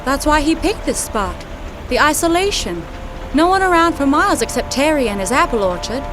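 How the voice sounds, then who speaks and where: A woman's voice: high-pitched, big pitch swings, moderate pace, background noise. An actress playing a worried but practical young woman adventurer, terse and direct, in an audio drama.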